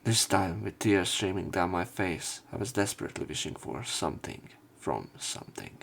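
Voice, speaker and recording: A man narrates a visual novel as a let's play host. His voice is fairly low with a lot of creak, and he mixes reading with casual commentary. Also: normal speed, fairly bright tone.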